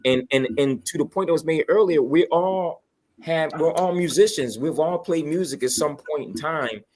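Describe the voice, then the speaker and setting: A man chatting casually and enthusiastically, pitching with emphasis and slang and often checking that listeners follow. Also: normal speed, a little mellow, a little hiss or hum. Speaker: guest promoting a toy radio station.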